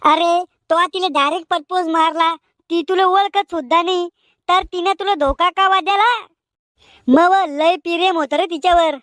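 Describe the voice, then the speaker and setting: An extremely high-pitched young village man from a cartoon comedy , acted by a woman. He banters colloquially in a rural Maharashtrian Marathi accent. The sound is dry and close. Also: fairly even intonation, moderate pace, a bit bright, no room sound. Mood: argumentative.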